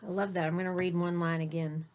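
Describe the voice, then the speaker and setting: A woman reading a children's picture book aloud like a teacher, with deliberate emphasis and short asides to her listeners. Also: on the low side, level tone, darkish tone. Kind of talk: expressive read-aloud storytelling for young children.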